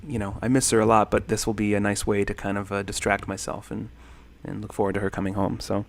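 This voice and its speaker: A man's voice: lowish voice, monotone, fast talker, creaky, slight background noise. A man in his thirties hosting a casual livestream, rambling and thanking viewers as he reads their comments. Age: 30s. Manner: rambling, friendly, many ums and thank-yous. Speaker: livestream host.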